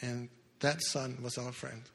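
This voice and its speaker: A man in his sixties, not a native English speaker, telling his life story earnestly as a testimony in church, with halting restarts. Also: medium pitch, flat delivery, vocal fry, a bit bright. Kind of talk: personal testimony talk. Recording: echoey hall with long reverb.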